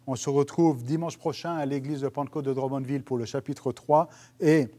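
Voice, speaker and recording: A man's voice: medium pitch, fairly even intonation, fairly quick, dark tone. A man, a pastor giving an earnest video teaching for home groups, often restarting his sentences, recorded on a lapel mic on a small studio stage. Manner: earnest, hesitant with many restarts and fillers. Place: studio stage with dark curtain.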